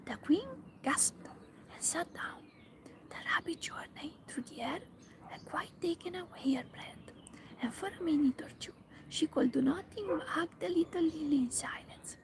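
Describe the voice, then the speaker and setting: Woman, ASMR story reading, high voice, soft unvoiced whisper. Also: normal speed, rough recording. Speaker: narrator.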